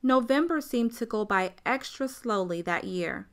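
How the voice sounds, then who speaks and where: A woman playing a grown-up storyteller who looks back on her childhood in a radio play, measured and wry. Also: on the high side, animated, fairly bright tone, slight background noise. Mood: wry, wistful.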